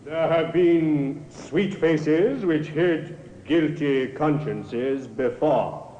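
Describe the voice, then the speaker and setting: An actor playing a stern, formal judge with a weighty, caustic manner in an old-time radio drama. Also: male voice, a little high, drawn-out words, warm tone. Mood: caustic, grave. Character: stern, caustic male judge addressing a jury. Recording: echoey, dull, faintly noisy old radio recording.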